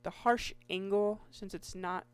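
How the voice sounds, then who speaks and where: A woman with a hoarse voice giving casual, meandering commentary over her Minecraft gameplay, restarting sentences often. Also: medium pitch, steady tone. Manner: slow, meandering, with many restarts and fillers. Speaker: Minecraft YouTuber.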